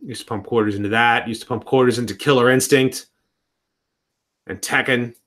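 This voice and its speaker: A man's voice: medium pitch, fairly even intonation, normal speed, fairly bright tone. A man in his thirties chatting casually on a live stream from home, rambling and often restarting his sentences. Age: 30s.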